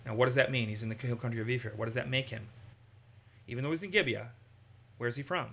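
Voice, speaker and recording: Bright-voiced man teaching scripture. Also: mid-range voice, fast talker, gravelly. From Massachusetts. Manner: animated, acts out quotes in raised voices. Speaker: Bible teacher. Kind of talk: conversational Bible study.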